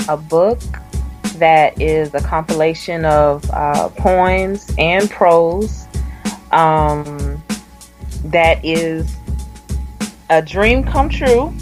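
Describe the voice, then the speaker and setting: A young woman from the South, an author and poet, answering interview questions with a hesitant manner and many fillers. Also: low voice. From Southern US (Alabama).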